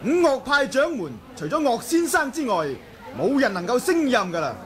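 An actor playing a sardonic martial-arts onlooker gushing mock praise in a Hong Kong Cantonese radio drama. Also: male voice, very high voice, big pitch swings, moderate pace, background noise. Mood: mocking admiration. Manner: exaggerated mocking praise. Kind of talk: 1980s radio drama acting.

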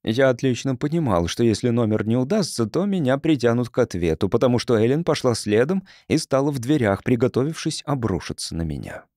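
A man reading an audiobook story as narrator, in an expressive scripted style. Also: medium pitch, brisk, hardly any pauses, no room sound, clean studio sound.

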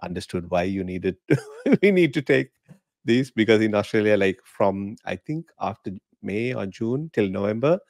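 A middle-aged man from Sri Lanka, a guest on a podcast video call, talking in a relaxed, chatty way with frequent laughs. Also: on the low side, moderate pace, no room sound, clean studio sound. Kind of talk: casual interview chat. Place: home living room. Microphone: podcast mic in frame, video call.

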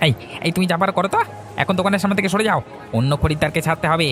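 Cartoon hawker, male actor: greedy, rude young fishmonger, pushy, fast, sharp and bright. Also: sits high. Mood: irritated, rude. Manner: pushy hawker's patter, snappish. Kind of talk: Bengali cartoon voice acting. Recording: music behind the voice.

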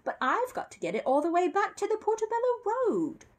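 A woman's voice: very high-pitched, huge pitch swings, fairly quick, pitched above the performer's own voice, heavily acted. A woman voicing a polite, earnest young male bear who is easily indignant, in a storybook reading. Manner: polite, earnest, hard-bargaining.

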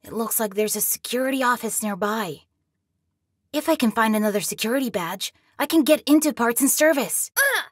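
Young boy game character, high voice, earnest, anxious, resourceful, dry close sound. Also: lively intonation, normal speed, breathy edge, no echo. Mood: determined, tense. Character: young boy, scared but plucky and resourceful. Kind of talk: video game voice acting.

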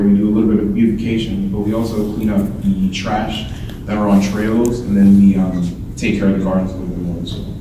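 A man's voice: lowish voice, background noise. A young man, a student giving an earnest conference presentation on a handheld mic, explaining things with frequent ums. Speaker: student speaker. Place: large indoor hall with projector screen. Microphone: handheld mic, filmed from far. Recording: roomy hall echo, distant camera.

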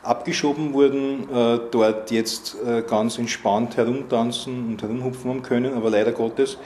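A middle-aged man hosting community news, speaking haltingly with many fillers. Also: mid-range voice, steady tone, a little mellow, a little hiss or hum. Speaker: news host and interviewer. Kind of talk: community news presenting and street interviews. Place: outdoor festival at night.

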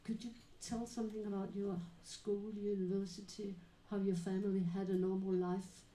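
A woman moderating a live panel talk, introducing guests and asking questions in a measured, explanatory way with many pauses. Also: medium pitch, little pitch movement, mellow, a little hiss or hum. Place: small dim venue with live audience. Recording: echoey room recording.

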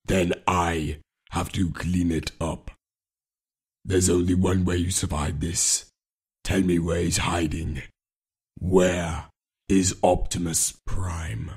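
A man voicing a cold, contemptuous robot bounty hunter with a menacing, deliberate delivery. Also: sits low, flat delivery, darkish tone, husky, heavily acted. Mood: menacing contempt. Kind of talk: fan voice reel, film villain acting.

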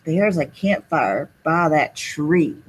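A woman's voice: low-pitched, animated, takes it slowly, breathy edge, voice pushed down, exaggerated voice, rough recording. A woman voicing a cheerful, cold and sneezy male snowman in a children's storybook, exclaiming and drawing out words. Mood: shivering. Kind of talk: children's storybook read-aloud.

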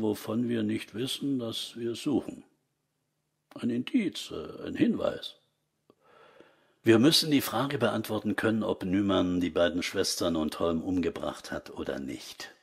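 An actor playing a gruff senior police investigator in a crime radio drama, husky, gravelly, deliberate and matter-of-fact. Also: male voice, fairly low pitch, lively intonation, heavily acted.

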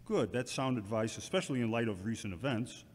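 A man playing a sober, counselling church deacon with a measured, instructive manner in an amateur audio drama. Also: mid-range voice, expressive, natural voice. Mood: sober, advising. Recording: reverberant, faint background ambient noise.

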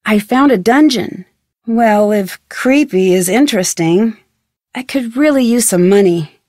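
An American actress playing a curious, polite, plucky young woman detective in a video game, speaking scripted lines in a clear, earnest way. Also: mid-range voice, expressive, a little slow, a little mellow, no echo, studio quality, lightly acted. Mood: curious, friendly.

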